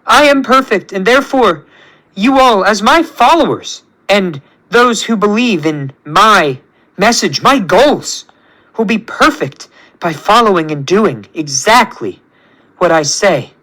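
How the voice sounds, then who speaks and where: A man voicing a megalomaniac villain scientist who sees himself as a god, with grandiose, drawn-out commands, in a superhero story. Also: high-pitched, animated, bright, forceful tone, pitched above the performer's own voice, full character voice, poor audio.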